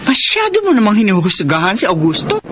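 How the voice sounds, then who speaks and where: An actress playing a proud, defensive middle-aged wife in a radio drama. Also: female voice, mid-range voice, huge pitch swings, fairly quick, fairly bright tone, slight background noise. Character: feisty, defensive older wife, indignant and proud. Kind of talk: Tagalog radio drama acting.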